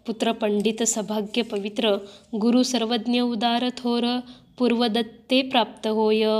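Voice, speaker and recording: A woman recites devotional poetry line by line in a slightly high voice. She keeps the melody nearly flat and the tempo slow, so the lines come across with a calm, even weight. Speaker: reader of devotional verse. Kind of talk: measured recitation of religious verse.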